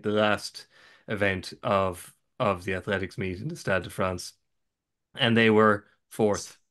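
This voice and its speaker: A man's voice: fairly low pitch, fairly bright tone. An Irish man hosting a sports podcast, talking casually and wryly with frequent restarts. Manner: wry, self-interrupting with restarts. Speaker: podcast host.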